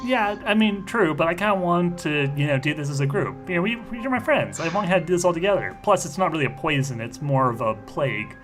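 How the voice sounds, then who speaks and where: A man's voice: a little high, lively intonation, fast, hardly any pauses, a bit bright, faint music behind. A man speaking casually and informally in character during a tabletop role-playing game.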